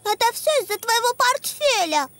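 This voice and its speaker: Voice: extremely high pitch, lively intonation, normal speed, a bit bright, slight background noise. An actor voicing a playful, bossy little animal girl in a preschool cartoon, with a childlike, teasing way of talking. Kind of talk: Russian-dubbed preschool cartoon acting. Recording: music under the voice.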